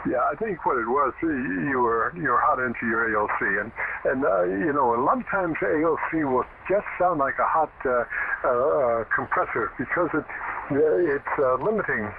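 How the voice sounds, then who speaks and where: Through loud radio noise and a thin, narrow band comes a man with a medium-pitched voice. He is a ham operator, explaining technical matters in a folksy, casual way. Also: lively intonation, moderate pace, vocal fry. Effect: radio transmission. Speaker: amateur radio operator giving audio advice. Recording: narrow, very noisy shortwave radio signal.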